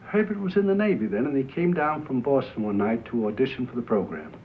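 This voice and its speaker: An actor playing a prim, earnest American small-town radio station owner, polite, literal-minded and hesitant, in a 1950s film drama. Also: male voice, mid-range voice, lively intonation, quick pace, close to an everyday voice. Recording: dull noisy 1950s film soundtrack.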